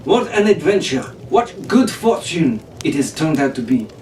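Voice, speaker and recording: Young sailor boy voiced by a man, excitable yet easygoing, storybook adventure, roomy distant recording. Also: on the high side, normal speed. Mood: delighted. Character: excitable, thoughtful young male merchant sailor. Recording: roomy, distant mic, faint background noise.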